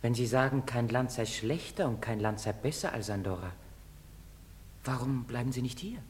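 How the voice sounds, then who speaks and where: A man's voice: mid-range voice, normal speed, slight background noise, close to an everyday voice. An actor playing a bewildered, earnest man of twenty in a stage drama, speaking in a reserved, questioning way. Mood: subdued, earnest. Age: young adult. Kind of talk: German stage-drama acting.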